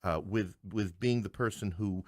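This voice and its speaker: Low-voiced talkative man from Queens. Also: moderate pace, no room sound, studio quality. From Queens, New York City. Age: middle-aged. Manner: talkative, digressive, wryly joking. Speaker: interview guest, playwright and English professor. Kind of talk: anecdotal interview conversation.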